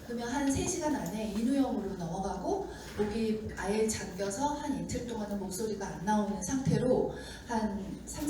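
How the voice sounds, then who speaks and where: A woman giving a seminar talk to an audience, slightly hoarse from a sore throat, chatty and acting out the doubts of skeptics. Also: fairly high pitch. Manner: chatty, acts out doubters' lines. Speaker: seminar speaker. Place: lecture room with audience. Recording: echoey hall mic from far off with noise.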